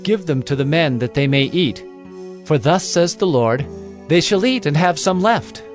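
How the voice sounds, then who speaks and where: An actor playing a stern, commanding holy prophet in a dramatized audio Bible, speaking solemnly. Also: male voice, on the high side, lively intonation, normal speed, faint music behind, natural voice. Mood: calm authority.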